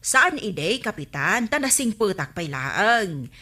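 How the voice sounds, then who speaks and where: A woman's voice: mid-range voice, sing-song, measured pace, bright, forceful tone, exaggerated voice. A woman acting a feisty village woman who fusses over debts, with an Ilocano accent, in a radio comedy-drama. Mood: indignant, scheming.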